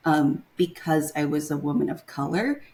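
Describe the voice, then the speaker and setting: A young woman from Taiwan with a low-pitched voice talks as a guest in a casual interview. She speaks in a hesitant way, filling gaps with "um" and "like" while she hunts for the right term, and her voice sits low and steady. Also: moderate pace. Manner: hesitant, searching for words with many fillers.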